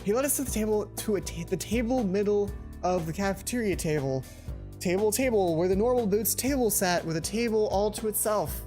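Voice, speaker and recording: A young man narrates a visual novel in an expressive voice of fairly high pitch for casual game commentary. He often stops to correct himself or add an offhand remark, with game music playing behind him. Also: a little fast. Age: young adult. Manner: expressive, self-correcting reader. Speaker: let's play host.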